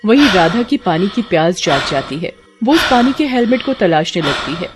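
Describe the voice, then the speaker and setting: A woman's voice: fairly low pitch, sing-song, moderate pace, music in the background. A woman narrator telling a scripted children's cartoon story.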